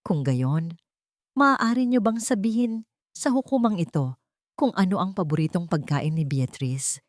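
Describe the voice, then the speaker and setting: A woman narrator voicing a calm male attorney with measured, formal questioning in an audiobook drama. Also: on the low side, no room sound, clean studio sound. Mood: probing.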